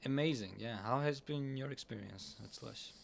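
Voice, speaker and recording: Eager, halting podcast host with gravel. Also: male voice, mid-range voice, slight background noise. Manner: enthusiastic, halting, many fillers and restarts. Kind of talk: casual interview talk. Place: busy conference hall.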